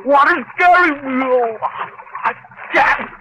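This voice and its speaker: A man's voice: very high voice, slow pace. An actor playing a frightened, simple-minded henchman on the run, pleading and stammering in broken grammar, in old-time radio drama style. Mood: terrified. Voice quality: whimpering, stammering, ungrammatical pleading. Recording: hissy, muffled vintage radio recording.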